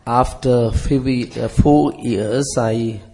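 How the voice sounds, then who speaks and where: A middle-aged man with a Sri Lankan accent, preaching as a visitor in halting, broken English, a short phrase at a time for an interpreter. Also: mid-range voice, steady tone, mellow.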